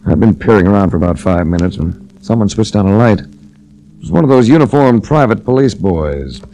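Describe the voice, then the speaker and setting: Hard-boiled sleuth: low-ish, sardonic, laconic; vintage radio drama. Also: male voice, expressive, a little fast, warm tone, rough recording. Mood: somber. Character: wry hard-boiled male insurance investigator. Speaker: detective narrator. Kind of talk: 1940s radio drama acting. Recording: restored old radio broadcast.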